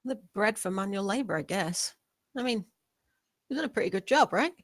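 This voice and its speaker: A woman's voice: medium pitch, animated, normal speed, no echo, studio quality, lightly acted. A woman playing a casual, wry spaceship crew member in a sci-fi comedy table read.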